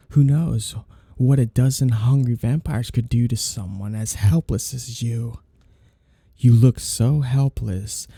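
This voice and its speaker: A man playing a suave vampire lord in an intimate ASMR roleplay, teasing and condescending in a slow, menacing purr. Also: medium pitch, creaky, dark tone, no echo, studio quality, exaggerated voice. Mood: predatory, flirtatious.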